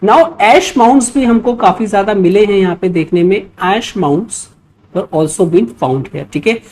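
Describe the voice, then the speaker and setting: An Indian woman teacher with a soft-edged, mellow voice at a middling pitch. She explains exam questions in an instructional way, adding short check-in tags as she goes. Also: slow pace. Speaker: history teacher for exam preparation. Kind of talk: explanatory lecture working through quiz questions.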